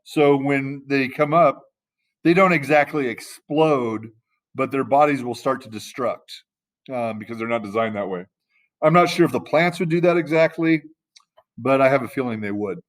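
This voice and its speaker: A man teaching a class in a casual, conversational way, often pausing to search for the right word. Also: medium pitch. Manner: loose and conversational, searching for words mid-sentence.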